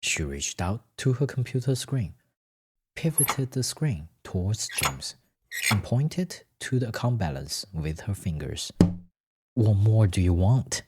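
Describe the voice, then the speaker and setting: A man's voice: lowish voice, big pitch swings, a little slow, very noisy. A man reading scripted fiction as a story narrator.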